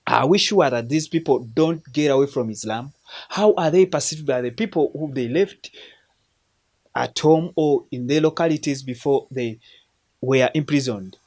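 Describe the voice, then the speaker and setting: A man's voice: mid-range voice, normal speed, darkish tone. A man from Uganda, hosting a film recommendation show in an earnest, preacherly way, often restarting his words. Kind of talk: casual spoken film review.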